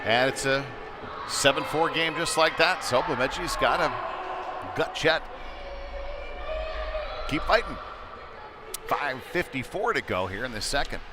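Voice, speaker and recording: A man's voice: medium pitch, expressive, measured pace, pauses often. A man doing live play-by-play commentary of an ice hockey game in a folksy, informal way. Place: indoor ice rink, live stream. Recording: loud rink noise behind the voice. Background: loud arena ambience, puck and board slams.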